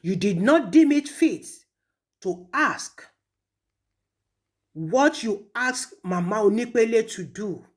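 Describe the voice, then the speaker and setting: A woman with a Nigerian Yoruba accent, speaking accusingly and emphatically in an impassioned public rebuke, with pointed pauses. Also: fairly high pitch, animated. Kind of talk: impassioned public rebuke, switching between languages.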